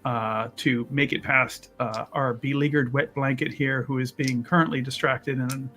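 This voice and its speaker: A man's voice: mid-range voice, steady tone, normal speed, bright, forceful tone. A man playing a tabletop role-playing game, commenting casually with wordy, theatrical phrasing.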